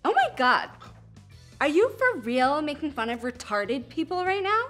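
A woman's voice: high-pitched, big pitch swings, normal speed, fairly bright tone, faint music behind. An actress playing an easily offended young woman in a comedy film, emphatic and incredulous. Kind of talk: scripted comedy film acting.

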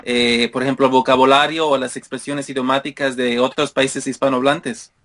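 A man's voice: mid-range voice, level tone, normal speed, a bit bright. A Mexican man hosting an interview in Spanish with a Mexican accent, asking loose, rambling questions full of fillers. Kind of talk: casual conversation.

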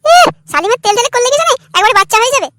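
A man voicing a shrill young wife in a comedy cartoon, scornful, nagging and sarcastic. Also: sing-song, rapid-fire, pushed and bright, exaggerated voice. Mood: mocking. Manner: mocking, complaining.

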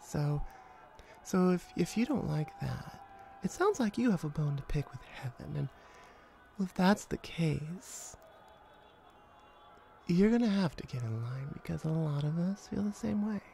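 A woman playing a flirty, laid-back female hellhound in an ASMR audio roleplay, talking to the listener in a wry, conversational way with hesitant pauses. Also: low voice, animated, creaky, warm tone, heavily acted. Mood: measured, defensive.

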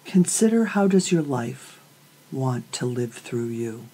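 A woman leading a guided meditation as a yoga nidra teacher, speaking calmly and soothingly, with deliberate pauses between short phrases. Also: rumbling low, slightly rough, low-quality recording. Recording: voice over prominent meditation music.

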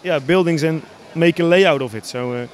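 Man, thirties, Dutch accent, fairly high voice, hesitant, casual interview explanation. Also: normal speed. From Netherlands. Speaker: hobby model builder, interviewee. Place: large busy exhibition hall, indoors. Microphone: handheld interview microphone.

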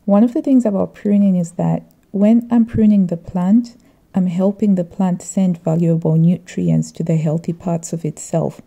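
Woman, middle-aged, very warm dark tone, creaky, measured reflective vlog narration. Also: fairly low pitch, fairly even intonation. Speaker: vlogger.

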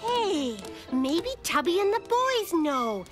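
Clever little cartoon girl, very high, lively, unhurried, music underneath. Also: heavily acted. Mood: cheery. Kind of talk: cartoon voice acting.

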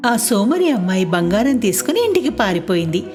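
A woman's voice: mid-range voice, big pitch swings, brisk, a little mellow, soft background music. A woman storyteller reading scripted narration for a children's moral tale.

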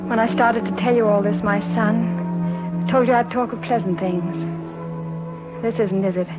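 An actress playing a proud, wounded mother, with solemn, halting delivery in 1940s radio drama style. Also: on the high side, steady tone, dull audio, loud music behind the voice, close to an everyday voice. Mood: solemn, bitter. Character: wounded, proud wartime mother telling her story to her son.

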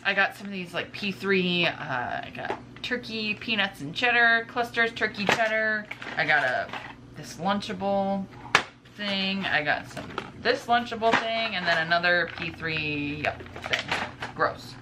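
A woman's voice: mid-range voice, expressive, measured pace. A woman in her twenties chatting casually to camera at home as a vlogger, rambling informally and drifting into asides. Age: 20s. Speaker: vlogger, stay-at-home mother. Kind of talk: casual chat to camera, showing groceries. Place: indoors in a home kitchen. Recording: clearly noisy home recording with room ambience.

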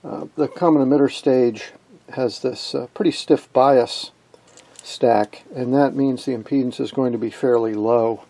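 A man presenting an unscripted technical demonstration in a halting, matter-of-fact way. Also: mid-range voice, dark tone. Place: indoors at an electronics workbench.

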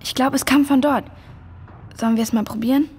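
A female performer playing a caring, practical girl in a children's audio drama, slightly husky, in cave-like reverb. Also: high voice, steady tone, a little fast, a little mellow, natural voice. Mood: puzzled, alert. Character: caring, practical girl comforting her friend.

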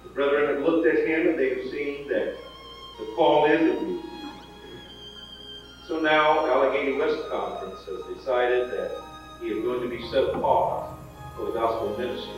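A man leading a slow, solemn public prayer in a church. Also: medium pitch, soft background music. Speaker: pastor. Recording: muffled, echoey hall from far off.

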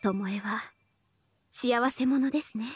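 A woman playing a devoted young half-oni samurai archer in a mobile game, speaking formal, reverent Japanese in a soft voice. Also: on the high side, slow, breathy edge, no room sound, heavily acted. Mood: solemn, earnest. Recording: studio voice over game music.

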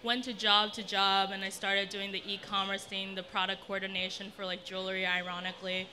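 Casting: young woman, panelist type, bright voice, blunt and irreverent, casual storyteller. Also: mid-range voice, monotone, fairly quick. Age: young adult. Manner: candid, irreverent, sweary, breaks into laughter. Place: indoor event space with brick wall. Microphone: handheld event microphone.

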